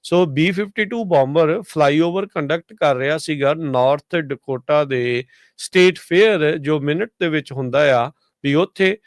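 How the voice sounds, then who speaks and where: A man with a Punjabi accent presenting a radio news report in a conversational, halting way with frequent hesitations. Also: a little high, no echo.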